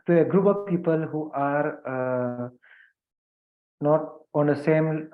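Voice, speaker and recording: A man's voice: fairly high pitch, takes it slowly. A man from India with an Indian English accent, a guest speaker thanking the audience at the end of an online event, hesitant and full of fillers and restarts. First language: Hindi or a regional language of India. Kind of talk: video conference remarks. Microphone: video call. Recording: phone-like narrow video call audio.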